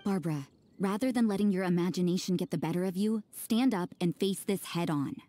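A woman's voice: fairly low pitch, a little fast, lightly acted. A woman voicing an aloof adult nun in a game cutscene, talking curtly in short clipped lines. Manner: curt, flat, clipped. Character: cool aloof adult nun, blunt and terse.

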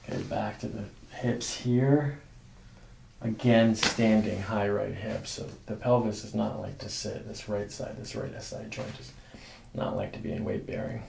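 A man's voice: on the low side, animated, a little slow, creaky, a little mellow, slight background noise. A man in his thirties working as a chiropractor, talking a patient through a hands-on exam with instructions and short check-in questions. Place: small carpeted clinic treatment room. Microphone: microphone on a boom arm near the table.

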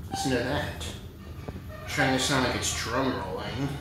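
A man talks casually behind a handheld camera, offhand and excitable, with the odd swear word. His voice sits at an ordinary middle pitch. The sound bounces around hard surfaces, with loud background noise behind him. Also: measured pace, echoey room. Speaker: elevator fan vlogger. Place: parking garage elevators and decks, indoors and out.

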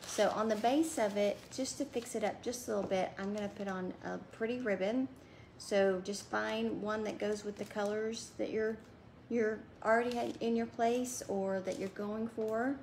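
Chatty woman with a gravelly edge. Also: medium pitch, fairly even intonation, moderate pace, a little mellow, a little hiss or hum. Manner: chatty, talks while working with her hands. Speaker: craft demonstrator. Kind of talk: casual how-to craft demo. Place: home craft room at a table.

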